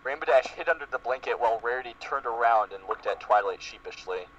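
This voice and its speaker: Lively man reading aloud, muffled sound. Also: medium pitch, quick pace, background noise. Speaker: reader in a group dramatic reading. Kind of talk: amateur dramatic reading of fan fiction. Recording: muffled lo-fi voice-chat recording.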